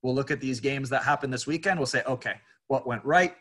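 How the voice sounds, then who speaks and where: A man speaks as a college coach at a post-match press conference. His mid-pitched voice comes in halting phrases, often repeating words as he works out his answer. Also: pushed and bright. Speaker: college soccer head coach.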